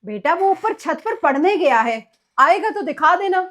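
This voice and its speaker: A woman's voice: very high-pitched, expressive, a little slow, a bit bright, full character voice. A woman playing a doting but exasperated mother in a comic family skit, motherly and chiding. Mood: trusting.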